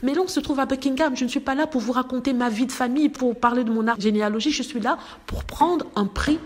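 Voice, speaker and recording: A woman's voice: high-pitched, quick pace. A woman vlogger talking straight to her viewers in casual commentary, indignant and emphatic.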